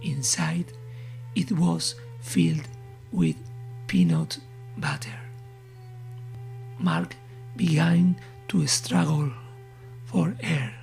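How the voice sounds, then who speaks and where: A woman narrating a scripted horror story in a hushed, breathy, measured way. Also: sits low, fairly even intonation, faint music behind, low-quality recording.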